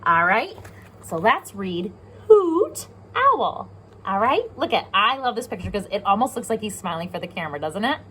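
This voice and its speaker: A woman's voice: mid-range voice, very animated, normal speed, fairly bright tone, a little hiss or hum. A woman with an American accent reading a picture book aloud to young children, explaining simply and asking them questions as a pre-K teacher.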